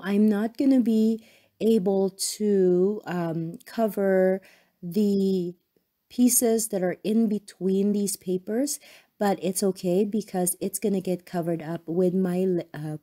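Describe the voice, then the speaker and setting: A woman with a cold, hoarse, hosting a crafting channel and chatting casually in a voice-over, rambling with many ums and self-corrections. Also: mid-range voice, slow, dark tone.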